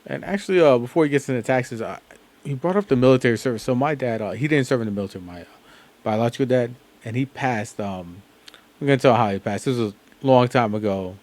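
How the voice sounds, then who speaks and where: An American man hosting a reaction video in a relaxed, casual register. He talks loosely, with slang, plenty of "you know" fillers and restarts as he gropes for words. His voice sits at an ordinary male pitch. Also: fast talker. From United States.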